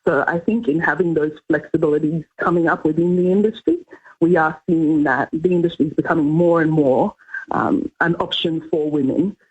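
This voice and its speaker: A woman from Ghana with a husky voice speaks as an interview guest on the radio. She explains things earnestly in a conversational way, and the audio is slightly dull and muffled. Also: low-pitched, normal speed.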